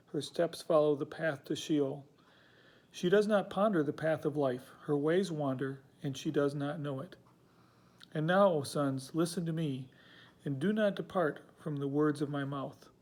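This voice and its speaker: A man reads scripture aloud as a measured recitation. His voice sits a little high for a man and has a dark, mellow tone. The delivery is solemn and deliberate. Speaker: scripture reader.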